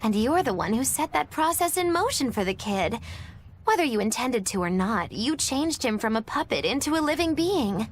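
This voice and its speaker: A woman's voice: a little high, sing-song, moderate pace, slight background noise. A woman playing a sly, theatrical young witch in an anime game dub, speaking in an arch, wordy, teasing way. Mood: knowing, teasing.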